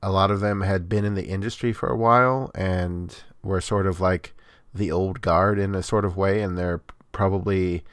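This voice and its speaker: A man with a low, deep voice co-hosting a podcast. He explains things in a measured, relaxed way. The sound is dry and close to the mic. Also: clean tone, dry recording. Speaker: podcast co-host. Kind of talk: casual chat.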